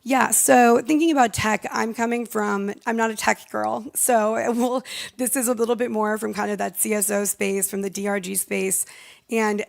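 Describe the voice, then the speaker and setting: A woman's voice: medium pitch, steady tone, moderate pace, vocal fry. A young woman giving semi-formal, partly improvised panel remarks in an earnest, informal way. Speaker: youth democracy network staffer, panelist.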